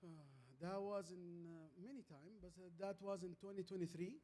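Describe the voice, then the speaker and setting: Mellow, higher-voiced man with an Arabic accent. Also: level tone. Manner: halting, repeats words, emphatic short phrases. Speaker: guest speaker, transformation leader. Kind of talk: fireside chat, anecdotal storytelling.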